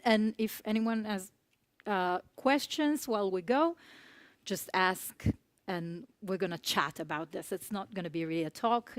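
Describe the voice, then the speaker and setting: A woman with an Italian accent gives a relaxed conference talk to a room. Her speech is chatty and informal, with soft laughs and many "uh"s and "um"s. The recording is close and dry. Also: mid-range voice, no room sound. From Italy. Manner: chatty, laughs often between words; many fillers and restarts. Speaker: conference speaker.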